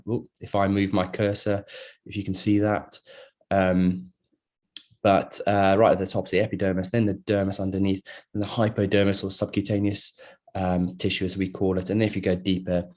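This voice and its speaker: A middle-aged man from South Africa, a plastic surgeon giving a relaxed online lecture over a video call, with many fillers and restarts. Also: deep voice. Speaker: plastic surgeon presenting a webinar. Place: at work, speaking into a computer.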